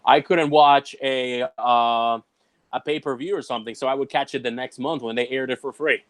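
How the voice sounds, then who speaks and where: A man from Ecuador, guest on a casual comics chat, upbeat and animated in how he talks. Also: medium pitch, moderate pace, no room sound. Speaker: guest co-host.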